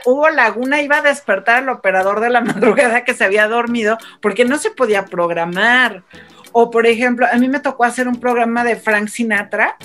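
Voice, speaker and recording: Woman telling radio stories. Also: on the high side. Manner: animated storytelling with quick restarts. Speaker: radio broadcaster guest. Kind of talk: casual radio panel chat.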